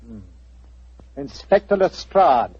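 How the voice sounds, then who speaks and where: An actor playing a stiff, guarded old butler in a 1940s radio drama, formal and secretive. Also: male voice, on the high side, lively intonation, slow pace, a little mellow, husky, exaggerated voice. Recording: dry, muffled old radio recording.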